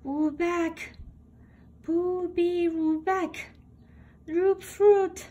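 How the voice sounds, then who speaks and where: High-pitched woman reading very slowly. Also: fairly even intonation, dark tone. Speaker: picture book reader. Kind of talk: children's read-aloud storytelling. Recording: home recording with background noise.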